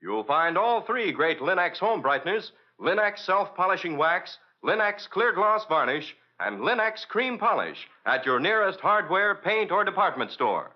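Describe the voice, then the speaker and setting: A man's voice: sits high, big pitch swings, unhurried, few pauses, lo-fi sound. A man with an American accent announcing in a polished, emphatic 1940s radio sponsor style, on an old broadcast recording.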